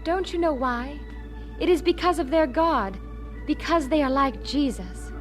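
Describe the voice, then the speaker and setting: A devout patient in an audio drama, really high lilting voice, earnest, music behind. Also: female voice, a little slow. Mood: earnest wonder.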